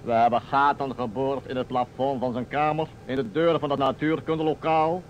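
A man's voice: mid-range voice, little pitch movement, brisk, natural voice. Male actor as small-town baker, wry gossip, emphatic insistence, radio play, muffled vintage sound. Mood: insistent. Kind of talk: Dutch radio play acting. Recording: dull old radio recording, faint noise.

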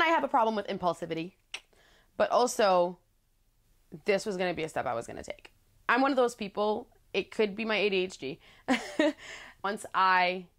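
A young woman in her twenties with a low voice, telling her story candidly to the camera in a casual vlog, chatty and playful with frequent laughs. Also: a bit bright. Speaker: vlogger. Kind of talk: casual talking-head storytelling. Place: small living room with plants, indoors.

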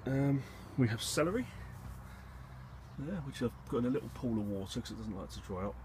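A man giving a casual, low-key, slightly hesitant tour as a gardening vlogger, thinking aloud as he goes. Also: animated, fairly quick, a little mellow. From England. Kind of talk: casual rambling video tour. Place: outdoors on an allotment and in a polytunnel. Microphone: handheld phone or camera behind the lens. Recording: noisy handheld outdoor recording, wind and background rumble.

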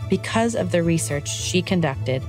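Low-pitched woman telling a scripted story. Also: animated, normal speed, a little mellow, soft background music. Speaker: narrator. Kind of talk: scripted storytelling narration for children.

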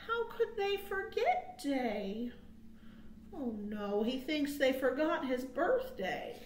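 High-voiced American woman reading to young children. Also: very animated, a little hiss or hum. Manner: sing-song, drawn-out phrasing, asking questions to young children. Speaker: children's librarian, storytime host. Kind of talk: storytime read-aloud and counting rhymes for children. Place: small quiet room indoors. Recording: roomy webcam sound.

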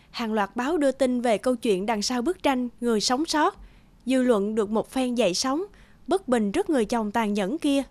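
A woman's voice: a little high, a little mellow, dry recording, natural voice. A woman playing the serious storyteller, narrating a cartoon from a script.

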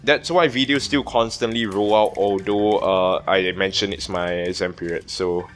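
A young adult man doing casual gaming commentary, rambling and halting, repeating words and swearing as he plays. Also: low-pitched, fairly even intonation, a bit bright, soft background music. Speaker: gaming video host. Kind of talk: casual let's play commentary.

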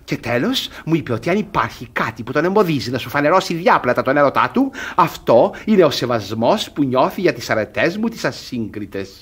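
An actor playing a smug, gullible, doting middle-aged guardian in a radio theatre comedy, husky and fussily patronising. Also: male voice, medium pitch, big pitch swings, hardly any pauses. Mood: smug, pitying.

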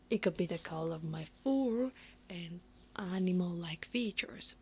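Woman acting as older centaur woman, native Italian speaker, ASMR roleplay, slightly low pitch, breathy whispers, intimate, gentle teasing, faint background noise. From Italy.

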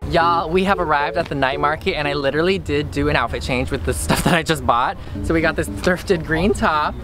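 A woman's voice: low voice, a little fast, music in the background. A woman in her twenties vlogging in a bubbly, slangy, playful way, filmed on a handheld camera at arm's length. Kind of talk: casual vlog chat. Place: outdoors, in a car and in shops.

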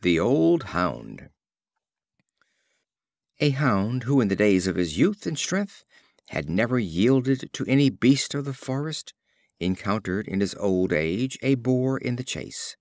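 A man narrating fables as an audiobook reader, in a deliberate, theatrical storytelling style. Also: lowish voice, sing-song, a little mellow, dry recording, studio quality.

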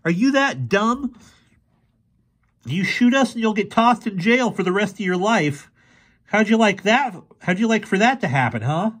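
A man's voice: fairly high pitch, animated, moderate pace, breathy edge, voice pushed up. A man voicing a scrappy, sarcastic boy with a snappy, taunting way of talking in an audiobook. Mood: taunting, defiant. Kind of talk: audiobook character voice.

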